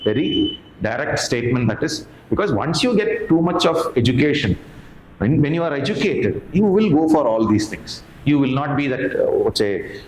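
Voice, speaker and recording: Male teacher, Indian accent, mid-pitched voice, didactic lecture delivery with repeated points; roomy echo, slight noise. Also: very animated, moderate pace, a little mellow. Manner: didactic, repeats key points and poses questions to listeners. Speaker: history teacher at an exam coaching academy. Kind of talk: explanatory exam lecture. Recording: echoey room with faint background noise.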